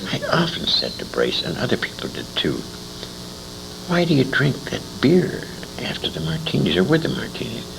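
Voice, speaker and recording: A man's voice: mid-range voice, huge pitch swings, moderate pace, gravelly. An older American man reminiscing in an interview, telling anecdotes in a rambling, emphatic way with asides to his listener. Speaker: interviewee, storyteller. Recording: noisy amateur 1980s convention interview recording.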